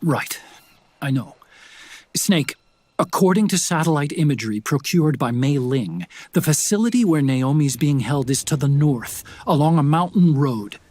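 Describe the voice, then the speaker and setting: An actor playing an earnest tech specialist in a video game, explaining things to his partner in a slightly husky voice. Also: on the high side, animated, moderate pace, a little mellow, no echo. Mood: briefing. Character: earnest male tech-savvy support partner briefing an agent. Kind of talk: video game cutscene acting.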